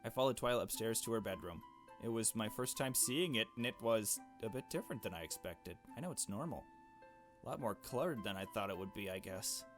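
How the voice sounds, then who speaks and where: A man reading a visual novel aloud and commenting casually in a slangy, informal way. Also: lowish voice. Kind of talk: casual gameplay commentary and narration.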